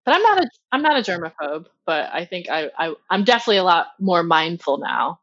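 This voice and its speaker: A woman's voice: on the low side, sing-song. A woman with a Midwestern American accent talks casually on a podcast, bubbly and full of eager questions. Her audio is a little dull and lo-fi, with no room echo around it. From Ohio, US Midwest. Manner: bubbly, questioning, quick laughs. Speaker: podcast co-host.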